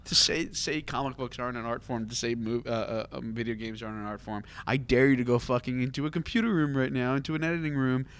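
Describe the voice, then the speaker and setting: A man with a medium-pitched voice speaks in a casual podcast discussion. He is blunt and unpolished, talking informally like one of a group of co-hosts. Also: steady tone, fast talker, few pauses, a bit bright, clean tone. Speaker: podcast co-host.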